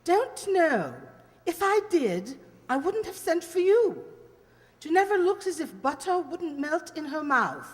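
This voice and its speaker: A woman's voice: very high voice, very animated, measured pace, exaggerated voice. A woman playing a blunt, impatient older aristocratic lady with a clipped manner in a radio play. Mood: brusque, suspicious. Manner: clipped, brusque, imperious. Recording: echoey hall reverb.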